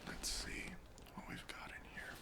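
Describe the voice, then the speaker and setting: Slow, whispering, stern airport security officer, acted out. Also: lightly acted. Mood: methodical, suspicious. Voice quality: breathy whisper with creaky, gravelly edges. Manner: slow, deliberate, officious questioning. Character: stern, suspicious airport chief security officer interrogating a traveller. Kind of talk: whispered ASMR roleplay.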